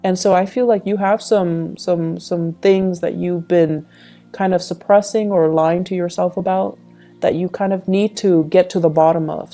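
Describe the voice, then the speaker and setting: A woman's voice: on the low side, mellow. A woman reading tarot, speaking directly to the listener in a reflective, advising way. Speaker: tarot reader and channel host.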